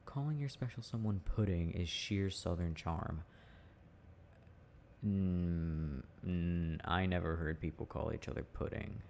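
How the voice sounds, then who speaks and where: A relaxed, teasing boyfriend played by a man in an intimate audio roleplay. His voice sits low with a gravelly fry, and he muses haltingly and casually. Some ambient noise sits behind him. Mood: relaxed musing. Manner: halting, musing, chuckling delivery. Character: teasing, easygoing boyfriend chatting with his partner.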